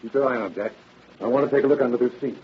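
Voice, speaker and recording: A man playing a brisk, businesslike police captain in 1930s radio style, with clipped, authoritative questioning on an old recording. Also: mid-range voice, breathy edge, lo-fi sound. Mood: stern.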